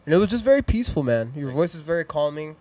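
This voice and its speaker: A man's voice: on the high side, very animated, fast talker, darkish tone, no echo. A man in his thirties with a Mexican accent hosting a live music stream in a small studio, chatting loosely and plugging sponsors into a handheld mic. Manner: rambling, upbeat, plugs sponsors and socials. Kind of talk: casual chat and shout-outs. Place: small decorated studio room, indoors.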